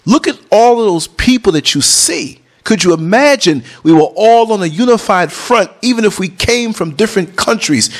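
Vlogger, middle-aged man, casual but preachy, leaps between high and low tones. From New York City. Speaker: vlogger and podcast host. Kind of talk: casual talk over concert footage.

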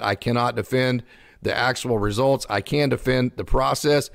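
A bilingual man hosts live sports talk in a dry, close sound. He is effusive and emphatic, chatting casually with his audience. His voice sits at an ordinary male pitch. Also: creaky, no echo. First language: bilingual English and Spanish. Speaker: live show host reading viewer messages.

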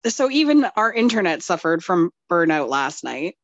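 A woman's voice: fairly low pitch, sing-song, moderate pace, no room sound, lo-fi sound. A woman co-hosting a podcast in casual chat, joking drowsily about herself. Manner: self-deprecating, drowsy joking. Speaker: podcast co-host.

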